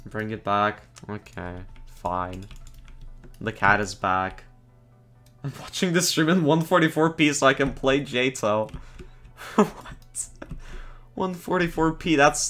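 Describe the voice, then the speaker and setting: A young man casually commentating his own game stream, excitable, laughing often and groaning at his fails. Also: mid-range voice. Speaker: gaming streamer.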